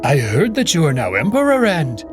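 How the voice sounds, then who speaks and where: A man's voice: a little high, wildly swooping pitch, moderate pace, music in the background, pitched above the performer's own voice. A man voicing an urgent cat-man courtier in a visual novel. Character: cat-man courtier calling out to the new emperor.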